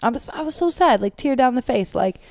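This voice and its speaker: Loud, animated young American woman podcaster. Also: high voice, brisk, dry recording. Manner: animated storytelling, swears freely. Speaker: podcast co-host. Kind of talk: casual unscripted podcast chat.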